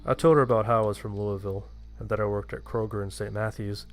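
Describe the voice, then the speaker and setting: A man's voice: fairly low pitch, steady tone, quick pace, soft background music. A man reading a scripted first-person horror story as its narrator.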